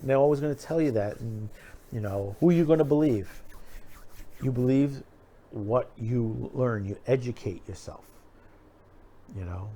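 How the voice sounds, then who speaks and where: A man's voice: medium pitch, measured pace, long pauses, dark tone. Rambling, emphatic American man giving commentary. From United States. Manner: rambling, emphatic, repeats himself. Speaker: host of an online commentary show. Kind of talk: unscripted opinion commentary.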